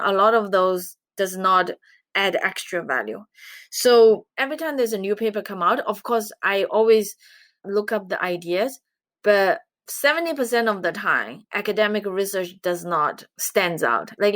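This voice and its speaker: A woman from China who speaks English, giving measured, earnest answers as an interview guest. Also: mid-range voice, a bit bright, dry recording. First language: Chinese. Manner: measured, earnest explaining with light laughs. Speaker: guest, investment researcher.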